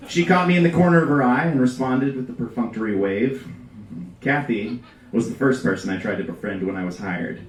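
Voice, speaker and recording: A man reading a comedic story aloud to a live audience with a deadpan, deliberate delivery. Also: mid-range voice. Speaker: reader at a live storytelling show. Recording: roomy live venue, distant mic.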